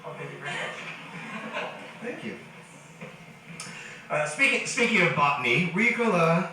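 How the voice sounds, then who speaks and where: A man giving a lecture to an audience, animated and joking, with a nagging cough. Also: a little high, a little slow. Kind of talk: public lecture. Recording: echoey hall from far off.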